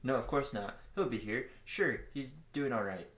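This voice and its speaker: A man's voice: mid-range voice, normal speed, a bit bright, natural voice. A man voicing an anxious, lovesick young man brooding to himself in an amateur fan fiction read-aloud. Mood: worried. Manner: self-questioning inner monologue. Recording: roomy, echoey home recording.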